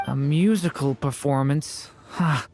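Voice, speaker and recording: A woman voicing a calm, reserved youngster in a fantasy video game. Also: deep voice, takes it slowly, soft background music. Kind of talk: video game character acting.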